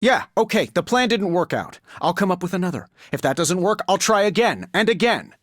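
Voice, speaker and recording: An actor playing a witty, cocky billionaire inventor superhero in a video game, with a glib, sardonic, conversational delivery. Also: male voice, sits high, very animated, a little fast, a bit bright, no room sound, studio quality. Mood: determined. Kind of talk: video game character voice lines.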